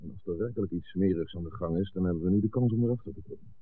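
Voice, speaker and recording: An actor playing a steady, gruffly practical fisherman father in a 1960s Dutch radio drama. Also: low voice, very fast. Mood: reassuring, resolute. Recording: narrow, muffled 1960s radio recording.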